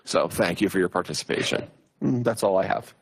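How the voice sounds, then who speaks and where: Low-voiced man giving a council report. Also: fairly quick, vocal fry, a little hiss or hum. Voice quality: loud voice. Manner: plain, conversational, with frequent fillers. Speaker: borough official.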